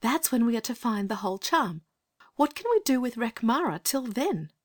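Woman as a lively, kind English little girl. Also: a little high, moderate pace. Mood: concerned. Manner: gentle, earnest, persuasive. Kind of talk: dramatized children's storybook reading.

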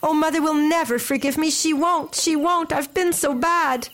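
A woman's voice: sits high, normal speed, a bit bright, pitched above the performer's own voice. A woman voicing an anxious young peasant girl in a storybook tale, pleading and exclaiming.

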